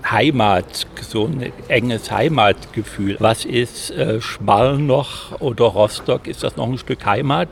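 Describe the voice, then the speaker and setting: A male interviewer asks probing questions in a relaxed, conversational way. His voice is heavily gravelly with vocal fry. Clear traffic and outdoor noise run behind him. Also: medium pitch, slow pace, hardly any pauses. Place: venue in Rostock. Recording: noisy outdoor recording.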